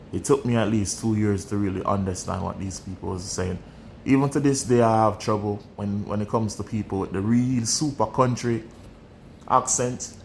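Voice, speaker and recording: A man's voice: lowish voice, gravelly, a little mellow, a little hiss or hum, low-quality recording. A young Jamaican man with a Jamaican accent, talking candidly and bluntly in a casual vlog and often repeating his phrases. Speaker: vlogger. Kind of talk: casual personal storytelling.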